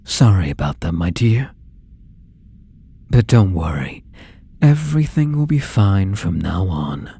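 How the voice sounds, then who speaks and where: An actor playing an obsessive, possessive young male stalker in an intimate ASMR roleplay, coaxing softly with falsely tender menace. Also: sits low, big pitch swings, gravelly, slight background noise, exaggerated voice. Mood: stern, threatening. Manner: soft, coaxing, menacing, slow and deliberate.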